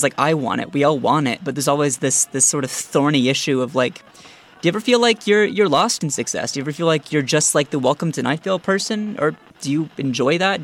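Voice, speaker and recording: Male podcast interviewer, voice a little high, conversational and tentative in his questioning.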